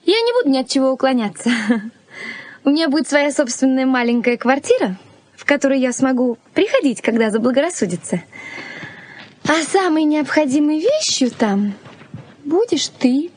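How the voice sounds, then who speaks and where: A woman's voice: high voice, sing-song, normal speed, darkish tone. An actress playing a playful, independent young bride-to-be who teases her fiancé in a 1980s radio play. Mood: musing. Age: young adult. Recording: faint noise, old radio play recording.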